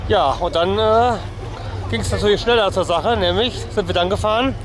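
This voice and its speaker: A man's voice: high-pitched, moderate pace, music in the background, a crowd in the background. A man in his forties with a German accent, presenting a casual family vlog outdoors to a handheld camera. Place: outdoors in an amusement park by a lake. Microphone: camera held at arm's length.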